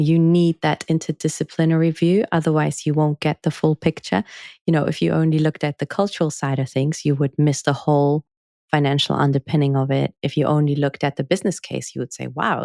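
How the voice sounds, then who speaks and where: Gravelly low-voiced woman, articulate guest. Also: a little mellow, no room sound, studio quality. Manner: articulate, measured, wry when telling anecdotes. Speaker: author and journalist guest. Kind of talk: podcast interview answers.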